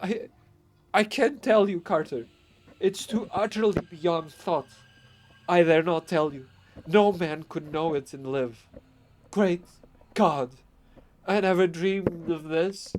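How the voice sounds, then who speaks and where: A man voicing a terrified man who shouts shakily and frantically up from a tomb. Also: on the high side, slow, pauses often, a little hiss or hum, voice pushed up, poor audio. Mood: frantic, terrified.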